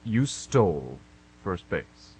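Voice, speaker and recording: A man's voice: deep voice, sing-song, slow pace, darkish tone, slight background noise, exaggerated voice, rough recording. A man playing an impatient, sarcastic police officer with a curt, unimpressed manner in an amateur radio drama. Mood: curt, skeptical.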